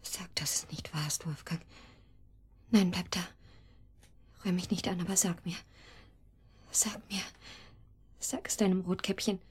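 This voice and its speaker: A breathy, distraught betrayed lover. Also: female voice, fairly low pitch, unhurried, pauses often. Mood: frightened, pleading. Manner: emotional, fragmented, theatrical delivery. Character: distraught female lover, betrayed, pleading, defiant. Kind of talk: German radio drama acting.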